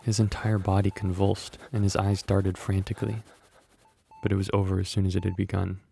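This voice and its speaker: Man acting low, gravelly, composed doctor. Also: flat delivery, warm tone, a little hiss or hum. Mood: grave, sceptical. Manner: measured, clinical, reserved. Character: composed, sceptical male hospital doctor, quietly pensive. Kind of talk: horror manga dub acting and narration.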